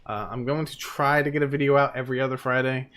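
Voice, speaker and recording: A man's voice: mid-range voice, fairly even intonation, fast, a bit bright, clean tone. A man streaming a game live, chatting casually and rambling with plenty of fillers, tired and losing his voice.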